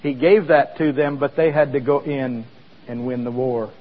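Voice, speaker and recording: A man's voice: mid-range voice, fairly even intonation, moderate pace, big-room sound, narrow, tinny audio. A man preaching a sermon in a conversational way, asking the congregation questions as he explains scripture. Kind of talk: sermon with live demonstration.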